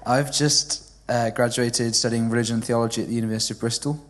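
This is a young man in his twenties, born in Wales, who speaks with a well-spoken southern English accent even though Welsh is his first language. He talks in a level, even-pitched way, chatty but hesitant and full of ums, as he is interviewed during a church service. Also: fast talker. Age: 20s.

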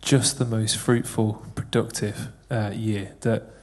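A man's voice: lowish voice, flat delivery, takes it slowly, a little mellow. A man praying aloud for someone in church, earnest, repeating phrases as he goes. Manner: earnest, repetitive prayer phrasing. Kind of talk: spoken prayer.

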